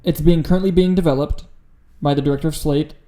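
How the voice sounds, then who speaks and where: Casual man, mellow, slightly higher voice. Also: steady tone, fast. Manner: profane, bluntly exasperated. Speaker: podcast co-host. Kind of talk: casual opinionated chat about film news.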